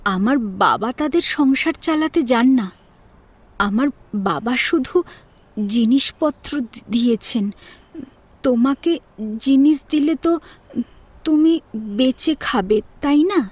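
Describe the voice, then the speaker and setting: A woman acting a proud, long-suffering young wife, measured and pointed, in an audio drama. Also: high voice, expressive, slightly rough, no echo, exaggerated voice. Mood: cutting. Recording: music behind the voice.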